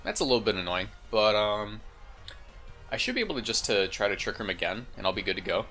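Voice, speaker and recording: Male gaming host, lowish and slightly congested, informal thinking-aloud play commentary, faint music underneath. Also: expressive, fast talker, sharp tone. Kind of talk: casual gameplay commentary.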